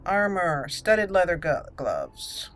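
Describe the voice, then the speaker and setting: A woman hosting a casual video game walkthrough, talking herself through choices in an unhurried way. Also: on the low side, sing-song. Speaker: gaming channel host.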